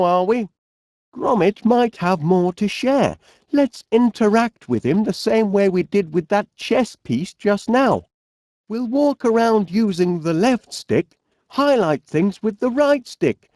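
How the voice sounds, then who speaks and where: A man's voice: high-pitched, darkish tone, no room sound, studio quality. A man voicing a cheerful, fussy middle-aged inventor with a Northern English accent in a video game, genial and bumbling. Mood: chirpy, explaining. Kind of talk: animated video game character voice lines.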